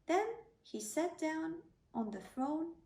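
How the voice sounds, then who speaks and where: A woman's voice: sits high, lively intonation, slow pace, gravelly, dark tone. A woman narrating a children's bedtime story, reading aloud as a storyteller. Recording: roomy, slightly distant microphone.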